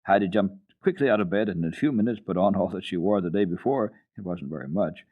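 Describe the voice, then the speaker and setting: A man reading children's literature as a radio storyteller, in an expressive, dramatic style. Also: low-pitched, fast, narrow, tinny audio. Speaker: storyteller, radio program host.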